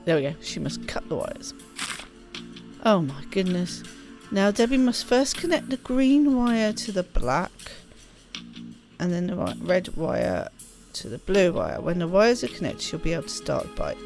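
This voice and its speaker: A woman's voice: lowish voice, sing-song, unhurried, vocal fry, darkish tone. A woman reading a game's story text aloud expressively, with casual spontaneous asides as she plays. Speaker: let's play host reading game text aloud. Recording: music behind the voice. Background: game music.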